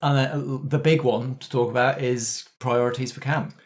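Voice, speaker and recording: Man, middle-pitched voice, game master, casual discussion host, animated storytelling manner. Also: moderate pace.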